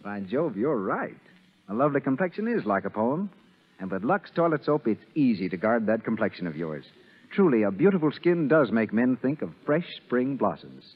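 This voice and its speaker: Animated old-time radio announcer man, slightly rough. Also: mid-range voice, normal speed. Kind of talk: scripted 1930s radio sponsor pitch. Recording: muffled, narrow 1930s radio broadcast.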